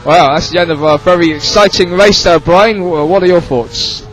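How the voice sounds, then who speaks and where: A man commentating on an online race and interviewing drivers, speaking in a slightly halting, conversational way. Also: high-pitched, a bit bright, slight background noise, rough recording. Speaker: sim racing race commentator. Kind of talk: live sports commentary and interviewing.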